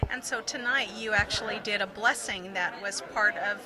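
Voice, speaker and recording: A woman's voice: medium pitch, moderate pace. A woman interviewing guests for local TV at a community event, prompting them with short questions and "um" fillers. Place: outdoor community holiday event. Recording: noisy crowd outdoors. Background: crowd chatter.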